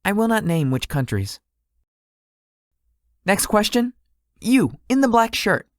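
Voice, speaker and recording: A man playing a gracious young celebrity tech guru in a visual novel, speaking politely and formally in a measured way. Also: high voice, very animated, dry recording, studio quality, exaggerated voice. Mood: measured, guarded. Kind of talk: visual novel character acting.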